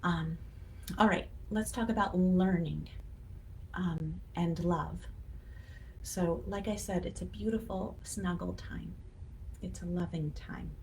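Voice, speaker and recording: A woman's voice: fairly low pitch, fairly even intonation, slow pace, long pauses, rough recording. A woman giving a casual, encouraging educational talk to parents, conversational with emphatic stresses on key words. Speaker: children's literacy educator and blog host. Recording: clear background noise.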